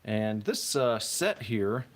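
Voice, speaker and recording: A man's voice: lowish voice, sing-song, slow pace, slight background noise. A man hosting a laid-back live stream from home, talking into a phone on a tripod. He rambles comfortably and reads viewer messages aloud. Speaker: streamer host. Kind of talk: casual live-stream chat while building. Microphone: phone mic on a tripod.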